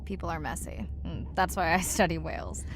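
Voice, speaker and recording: A woman's voice: on the low side, fairly quick, noisy background, close to an everyday voice. An actor playing an earnest, enthusiastic young woman who studies and dives in the sea, speaking naturally and conversationally for a video game. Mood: reflective.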